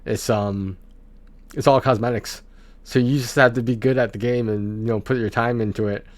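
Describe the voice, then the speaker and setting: A man's voice: mid-range voice, fairly even intonation, normal speed, no echo. A man livestreaming about video games in a casual, rambling, conversational way, speaking to his viewers on a close mic.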